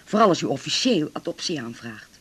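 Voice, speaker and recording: An actor playing a discreet, pragmatic lawyer with a formal, measured way of talking, in a radio drama. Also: male voice, fairly high pitch, big pitch swings, a bit bright, husky, exaggerated voice. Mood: advising, reassuring. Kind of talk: naturalistic 1980s radio drama acting.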